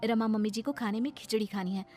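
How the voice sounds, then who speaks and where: A woman's voice: a little high, fast talker, darkish tone, faint music behind, lightly acted. A woman playing a polite, eager-to-please young daughter-in-law in a moral-story cartoon. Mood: apologetic, pleading. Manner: polite, pleading.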